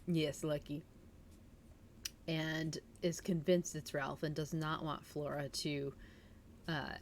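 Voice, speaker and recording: A woman's voice: low-pitched, slight background noise. A woman hosting a livestream, chatting informally and reading a story aloud in a giggly, chatty way. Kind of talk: casual livestream chat and audiobook-style reading.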